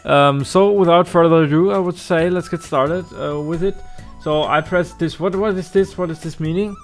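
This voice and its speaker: A man who is a native German speaker, hosting a casual let's play in a halting way with theatrical announcer flourishes. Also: on the high side, steady tone, soft background music. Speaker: host of a gaming channel.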